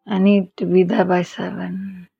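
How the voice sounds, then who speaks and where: A woman playing a desperate married woman held in an asylum in an audio play, pleading and agitated, with a husky voice. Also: fairly low pitch, little pitch movement, measured pace, dry recording, muffled recording, full character voice. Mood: anxious pleading. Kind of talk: amateur audio play acting.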